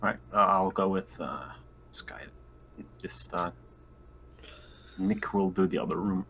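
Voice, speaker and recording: A man with a very low voice talks casually as a player in a tabletop game. There is a faint bed of ambient noise behind him. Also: measured pace, a bit bright.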